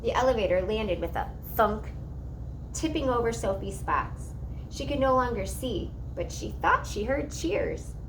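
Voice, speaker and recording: A woman reading a children's story aloud in an expressive narrating style. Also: a little high, noisy background.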